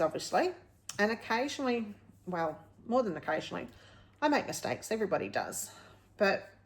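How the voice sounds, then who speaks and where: A woman's voice: medium pitch, rough recording. Chatty woman in her late fifties. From Australia. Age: late 50s. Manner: candid, rambling, self-correcting. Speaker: vlog host. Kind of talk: casual personal vlog, chatting to viewers.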